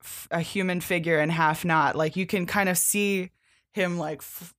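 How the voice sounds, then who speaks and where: A woman with a fairly low voice talks as a podcast co-host. Her delivery is informal and keen, with casual swearing, as if chatting about comics with a friend. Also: fairly even intonation, moderate pace, a bit bright. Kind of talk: casual comic book discussion.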